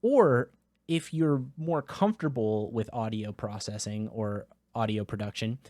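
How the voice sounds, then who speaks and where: A man casually walking through software settings in a product review, talking into a close dynamic USB microphone. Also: on the low side, measured pace, no echo. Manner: casual, explanatory, with filler words. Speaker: tech reviewer.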